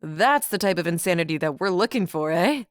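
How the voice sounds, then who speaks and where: A woman voicing a relaxed, always-smiling male crew leader in his early thirties, unfazed and friendly, in a storybook reading. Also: lowish voice, fairly quick, dry recording, clean studio sound, natural voice. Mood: easygoing.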